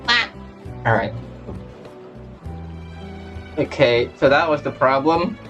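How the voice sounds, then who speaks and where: A man chats casually as he streams a game, at a slow pace and a middling pitch. He is scattered, restarting and repeating phrases as he goes, with faint music behind him. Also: big pitch swings, a bit bright, lo-fi sound. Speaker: livestreamer, gamer VTuber. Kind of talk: casual livestream chat while setting up a game.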